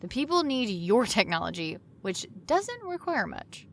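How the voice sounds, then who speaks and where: A woman's voice: fairly high pitch, very animated, unhurried, fairly bright tone, a little hiss or hum, exaggerated voice. An actress playing an eager, determined woman joining a utopian organization in a sci-fi audio drama. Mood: resolved, eager.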